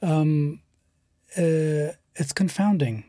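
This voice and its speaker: A guest in their thirties from Lebanon, speaking slowly and thoughtfully, with a stutter that often shows as silent pauses. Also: mid-range voice, warm tone, no echo, clean studio sound. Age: 30s. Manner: slow, measured and thoughtful. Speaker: guest, poet and writer. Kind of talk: reflective interview conversation.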